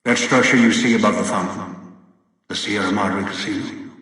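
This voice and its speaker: An actor playing a cold, obsessive old male villain in a video game, giving orders in a deliberate, menacing, condescending way. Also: fairly low pitch, fairly even intonation, bright, forceful tone. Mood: stern, menacing. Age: older. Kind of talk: video game voice acting, monologue. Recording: very echoey, long reverb, distant.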